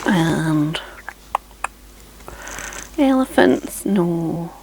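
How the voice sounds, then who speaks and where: A Scottish woman in her forties, chatting casually to camera from her home craft room in a chatty, self-deprecating way with frequent ums and soft laughs. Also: fairly low pitch, lively intonation, extremely slow, creaky, noisy background. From Scotland. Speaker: craft channel host.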